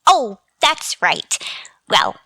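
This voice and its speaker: A woman's voice: fairly high pitch, sing-song, extremely slow, sharp tone, dry recording, clean studio sound, heavily acted. An actress playing a chirpy, scatterbrained, motherly landlady in a scripted radio comedy, in a sing-song, absent-minded manner. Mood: earnest, scatterbrained. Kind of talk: scripted radio sitcom acting.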